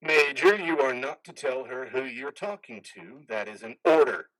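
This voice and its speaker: A man's voice: medium pitch, moderate pace, a bit bright, no echo, lightly acted, rough recording. A man playing the ghost of an army colonel in a play reading, authoritative and insistent. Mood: stern, commanding. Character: commanding, taunting male ghost of an army colonel. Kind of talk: live amateur play reading.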